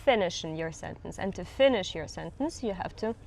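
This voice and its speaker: A woman's voice: on the low side, expressive, fairly quick, a little mellow, a little hiss or hum. A woman with a Russian accent, a native Russian speaker, patiently coaching a student on English pronunciation and modeling sounds for him. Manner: patient, explains with examples. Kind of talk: teaching pronunciation.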